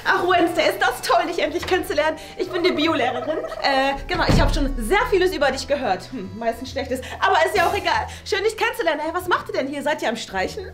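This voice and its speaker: A woman's voice: sits high, expressive, quick pace, few pauses, over music. A woman playing a gushing, relentlessly cheery biology teacher in a web series, effusive and chatty, in an echoey room. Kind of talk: improvised acted web series.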